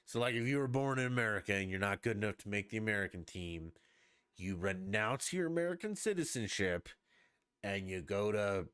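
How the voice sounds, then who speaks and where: A man's voice: fairly low pitch, fairly bright tone, clean tone, no room sound, studio quality. A man hosting a podcast, talking alone in a blunt, profane, emphatic rant. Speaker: podcast host. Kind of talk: opinionated solo podcast rant.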